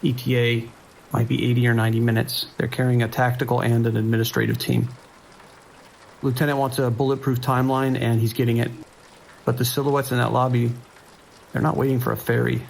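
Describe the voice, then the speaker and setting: Police dispatcher male actor, audio drama. Creaky mid-range voice, procedural, tense and clipped, light rain noise. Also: mid-range voice, natural voice. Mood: grim, controlled. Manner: clipped, procedural radio speech.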